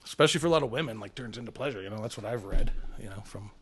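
A man's voice: lowish voice, racing, background noise. A man co-hosting a podcast, talking in a loose, teasing, rambling way with lots of fillers. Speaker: podcast co-host. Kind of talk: casual crude chat.

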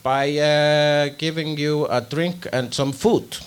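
Wry, slightly high-voiced male co-host. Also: fairly even intonation, slow pace, smooth. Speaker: podcast co-host. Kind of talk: live podcast banter. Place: stage before a live audience.

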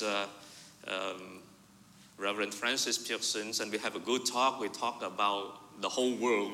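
A male pastor tells a story from the pulpit. His voice is very bright and forceful, and it rings out with a long church-hall reverb. He swings from loud emphasis to soft, confiding asides. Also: mid-range voice. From Vietnam. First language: Vietnamese. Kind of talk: sermon with personal story. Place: church sanctuary.

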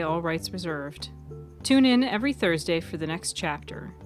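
A woman with a slightly low voice narrates a scripted story podcast. She reads as the host and storyteller. Soft music plays behind her. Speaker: author, narrator and host of a serial fiction podcast.

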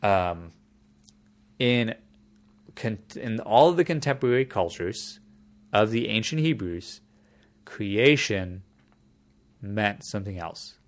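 A man's voice: on the low side, lively intonation, slow, pauses often. A man in casual conversation, explaining a topic at length in a thoughtful way, often restarting his sentences.

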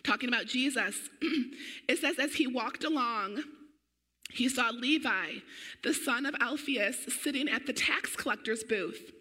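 A woman's voice: on the high side, unhurried. Woman preacher with a weakened voice. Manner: energetic, conversational preaching. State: weakened voice, clearing throat. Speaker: pastor. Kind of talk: sermon.

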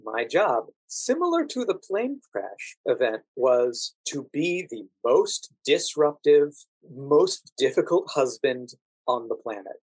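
An American man speaking as an interview guest, telling anecdotes conversationally with drawn-out emphasis on key words. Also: mid-range voice, very animated, darkish tone, slightly rough, no room sound, rough recording. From United States. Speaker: podcast guest, actor working as a standardized patient.

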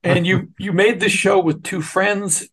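Man, fairly high pitch, creaky gravelly voice, interview host, conversational. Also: steady tone, unhurried, a bit bright.

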